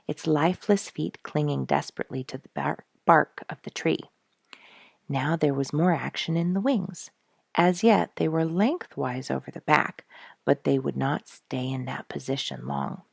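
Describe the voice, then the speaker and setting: A woman narrating a storybook from a script, expressive, with dramatic emphasis. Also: deep voice, creaky, slightly rough, dry recording, clean studio sound. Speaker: storyteller, show host.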